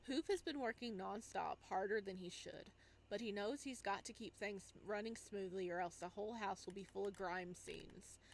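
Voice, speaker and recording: A woman's voice: medium pitch, moderate pace. A woman hosting a game playthrough, reading on-screen text aloud and reacting casually as she goes. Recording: clear background noise under the voice.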